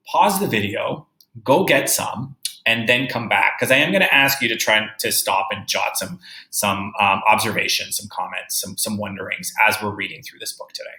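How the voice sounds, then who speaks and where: Bright-voiced male teacher in a roomy space. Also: medium pitch. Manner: instructive, emphatic, checking understanding with tag questions. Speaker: third-grade teacher. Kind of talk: read-aloud lesson for children, explaining and reading.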